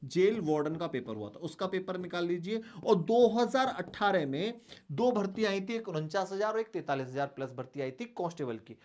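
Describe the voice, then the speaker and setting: A man's voice: high-pitched, lively intonation, a little fast, darkish tone. A man teaching an online exam-preparation lesson, instructing students affectionately, repeating his points and posing rhetorical questions. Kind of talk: online coaching lesson, strategy talk to students.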